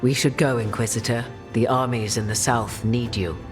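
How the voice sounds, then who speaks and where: A very deep-voiced woman as a grave, knowing game sorceress. Her delivery is formal and measured, and it rings in a long hall reverb with music playing clearly behind. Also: fairly even intonation.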